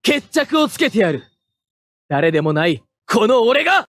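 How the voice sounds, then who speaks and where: A man playing a proud, hot-headed young mecha pilot, barking short forceful attack calls in an anime fighting game. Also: high voice, big pitch swings, a little slow, no echo, clean studio sound, full character voice. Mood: resolute.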